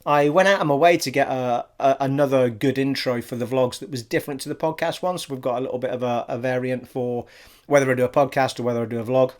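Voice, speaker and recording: A man's voice: medium pitch, fairly even intonation, fast talker, slight background noise. A man from Scotland with a generic English accent, rambling cheerfully through a casual gaming vlog from his living room. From Scottish, raised abroad in army schools. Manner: chatty and rambling, says "people" a lot. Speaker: gaming vlogger. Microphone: studio USB microphone on an arm.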